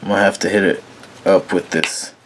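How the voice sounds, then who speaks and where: A man with a very low voice talking casually and slowly while painting, with lots of fillers and a laid-back manner. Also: fairly even intonation, background noise. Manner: slow, rambling, frequent fillers, laid-back. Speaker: painting tutorial host. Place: small room at a painting table.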